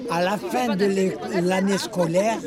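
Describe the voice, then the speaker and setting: A man in his eighties from Algeria, telling an old story to friends in a lively, chatty way, often laughing. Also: sits high, a little slow. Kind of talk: casual anecdote. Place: outdoor gathering. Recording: outdoor home video with busy crowd. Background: crowd chatter.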